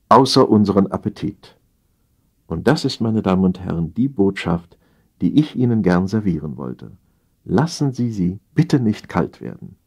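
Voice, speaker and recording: A man with a husky voice reading satirical stories as an audiobook, in a theatrical, mock-solemn manner with deliberate phrasing. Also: fairly low pitch, a little mellow. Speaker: audiobook narrator.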